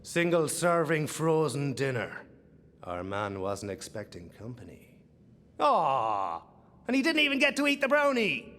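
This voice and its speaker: A man's voice: fairly high pitch, huge pitch swings, measured pace, a bit bright, long reverb tail, full character voice. A man playing a wry, easily distracted treasure hunter in a video game, quippy and theatrical. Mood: playful. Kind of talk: video game character dialogue.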